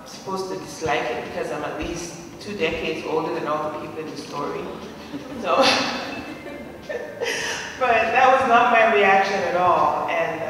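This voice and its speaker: A man's voice: on the high side, sharp tone. A man in his forties from Jamaica, a panelist speaking thoughtfully and searching for words at a discussion. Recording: far off mic in an echoey hall.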